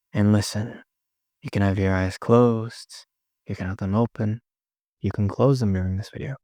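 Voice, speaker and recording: A man leading a guided meditation in short, gently instructive phrases with long pauses between them. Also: lowish voice, dry recording, clean studio sound.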